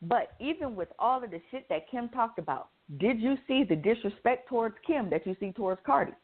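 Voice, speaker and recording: A woman's voice: on the high side, expressive, a little fast. An American woman giving blunt, profane opinions in slangy, casual panel chat. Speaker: radio show co-host. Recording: thin phone-like band-limited audio.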